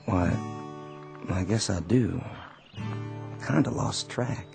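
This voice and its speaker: A man voicing a weary Confederate soldier walking home, with a gentle, resigned drawl. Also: low voice, slow, gravelly, exaggerated voice. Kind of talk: radio drama acting. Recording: radio drama with music behind.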